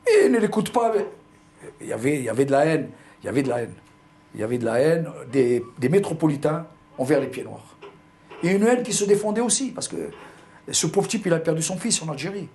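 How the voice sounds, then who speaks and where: A man's voice: on the high side, animated, brisk, a little mellow, a little hiss or hum. An older man from Algeria with a pied-noir accent, telling his memories in an interview, hesitating and repeating words. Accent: pied-noir French. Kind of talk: recounting personal memories.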